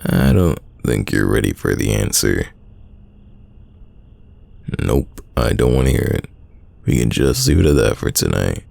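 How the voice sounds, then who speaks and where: A man playing a secretly smitten, teasing young man in an intimate roleplay audio drama, deep and gravelly, talking casually. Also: animated, a little mellow, no room sound, exaggerated voice. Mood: flustered, guarded. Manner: casual, conversational, halting, teasing.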